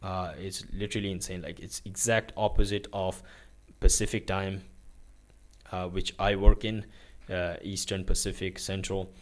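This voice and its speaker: Young guy from pakistan doing a day in the life vlog, lowish voice, lots of uh's, phone on a tripod in his room. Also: flat delivery, moderate pace. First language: Urdu is his country's language, but not his first language. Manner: rambling, with many uh fillers and restarts. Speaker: vlogger. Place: his bedroom at home.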